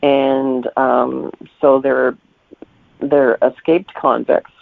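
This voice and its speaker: A man with a creaky, gravelly voice talks as a guest author being interviewed. He explains things reflectively and conversationally. The sound is dry and narrow, like a telephone line. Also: mid-range voice, little pitch movement, extremely slow, no echo. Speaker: guest novelist.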